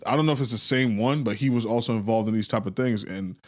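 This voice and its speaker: A man's voice: fairly low pitch, fast talker. A man hosting a casual podcast chat, arguing and swearing loosely with friends. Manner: argumentative, swearing, laughs often.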